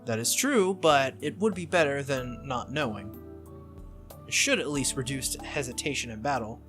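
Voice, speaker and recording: A man voicing a stern, formal young female warrior from a visual novel, speaking in a measured, earnest way. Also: medium pitch, animated, fairly bright tone, soft background music, natural voice.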